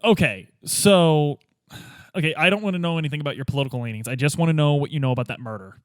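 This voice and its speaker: A man's voice: fairly high pitch, fast, dry recording, clean studio sound. A man playing a role-playing game in casual chat, asking questions and thinking aloud.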